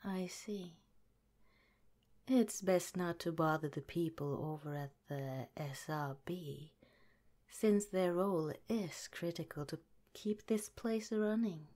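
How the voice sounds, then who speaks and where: A woman voicing a calm, caring woman scientist director in an ASMR roleplay, speaking gently and politely in a soft, whispery way. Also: on the low side, slow. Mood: gentle, reassuring.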